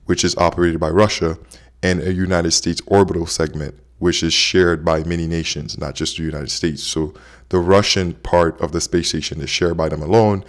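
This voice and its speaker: A man's voice: sits low, little pitch movement, normal speed. A man in his thirties from Haiti, hosting a casual explainer vlog in a conversational way. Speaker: host, app co-founder.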